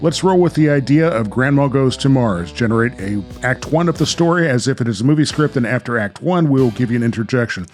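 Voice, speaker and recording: A man's voice: mid-range voice, expressive, a little fast, few pauses, darkish tone, faint music behind. An American man hosting a podcast, chatting casually and narrating. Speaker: podcast host.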